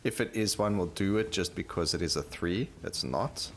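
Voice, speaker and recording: A man's voice: low voice, normal speed, a bit bright, a little hiss or hum. A man hosting a gaming video, giving casual, conversational commentary and thinking aloud as he plays. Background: game music.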